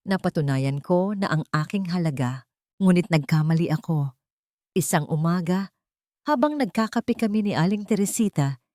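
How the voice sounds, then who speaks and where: A woman's voice: lowish voice, a little mellow, no echo, clean studio sound. A woman reading scripted first-person dramatic fiction as a story narrator.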